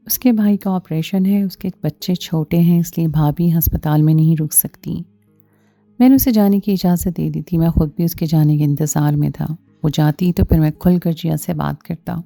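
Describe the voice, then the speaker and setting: A woman's voice: fairly low pitch, gravelly, warm tone, no room sound. A woman reading a scripted first-person story as its narrator, with music behind her.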